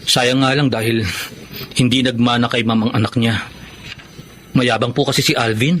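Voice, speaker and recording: A man's voice: mid-range voice, steady tone, moderate pace, a bit bright, slight background noise, close to an everyday voice. An actor playing a respectful, reserved young man with a Filipino accent in a radio drama. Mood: earnest. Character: respectful, reserved young male restaurant pianist.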